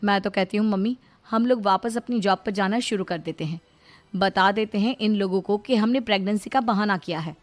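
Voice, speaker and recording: A woman plays a practical young married daughter in a family storybook tale. She sounds frustrated and earnest at a middle pitch, with soft music underneath. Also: fairly quick, close to an everyday voice. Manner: complaining, earnest.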